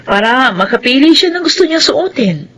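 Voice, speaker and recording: A woman's voice: high voice, big pitch swings, normal speed, fairly bright tone, exaggerated voice. An actress with a Filipino accent playing a caring, doting middle-aged mother in a radio soap. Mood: light, doting.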